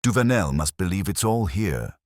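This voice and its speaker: A man plays a shrewd Victorian detective in an audiobook story. The voice is extremely low and deliberate, commanding in tone, with expressive swings and a dry, close sound. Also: no echo. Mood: commanding, strategic.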